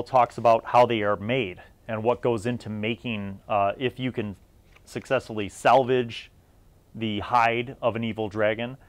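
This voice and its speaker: A middle-aged man giving a casual, unscripted review as a video host, rambling conversationally and thinking aloud as he goes. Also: fairly low pitch. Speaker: video host reviewing old gaming magazines.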